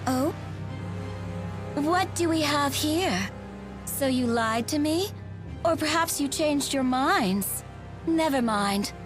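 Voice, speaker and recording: Casting: coldly polite game villainess, high and swooping, cruel, mocking, savors each phrase. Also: female voice, lively intonation, music in the background. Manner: condescending, formal, drawn-out phrasing. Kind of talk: video game voice acting.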